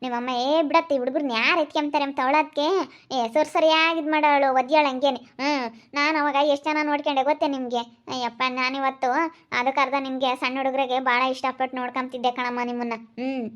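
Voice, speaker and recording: Woman playing a rustic, high-voiced old granny. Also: fairly even intonation, brisk, fairly bright tone. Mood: reminiscing. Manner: rustic, chatty, reminiscing. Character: old grandmotherly woman who raised the children, fond, gossipy. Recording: dull lo-fi sound.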